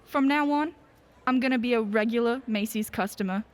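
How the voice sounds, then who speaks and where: Woman playing an effusive, high-voiced shopper mother. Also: brisk, close to an everyday voice. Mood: enthusiastic. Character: effusive, chatty mother shopping at department store. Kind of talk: radio play acting.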